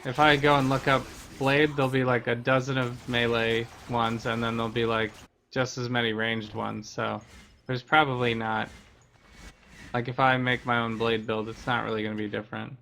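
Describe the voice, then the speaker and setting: A man talks through a game for his stream in a casual, explanatory way. His voice has a bright, crisp edge. The intonation stays fairly level as he chats. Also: medium pitch, flat delivery, moderate pace, a little hiss or hum. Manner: explanatory, conversational. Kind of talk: casual gameplay commentary.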